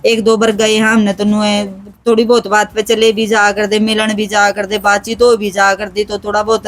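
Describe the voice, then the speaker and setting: A woman's voice: medium pitch, little pitch movement, moderate pace. A woman with a Haryanvi accent giving unscripted interview testimony in an emphatic, rustic way, repeating her phrases. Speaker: aggrieved relative being interviewed.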